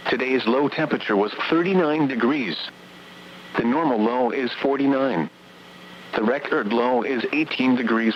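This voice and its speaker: A man's voice: mid-range voice, a little hiss or hum. Synthetic male announcer on muffled weather radio. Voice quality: synthetic text-to-speech voice. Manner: impersonal, formulaic delivery in clipped segments. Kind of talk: scripted marine forecast and weather bulletin reading.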